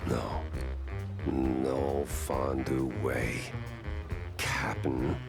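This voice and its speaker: A man voicing a hard-boiled, cynical mouse private eye in a 1930s noir cartoon game, with a gravelly, dry, sardonic delivery. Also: rumbling low, steady tone, extremely slow, heavily acted. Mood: weary, wry. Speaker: private investigator. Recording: voice over music.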